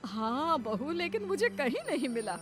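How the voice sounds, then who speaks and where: A woman voicing a fretful, wronged older mother-in-law in an animated story, plaintive and dramatic. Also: high voice, normal speed, music in the background. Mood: worried. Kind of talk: animated Hindi story voice acting.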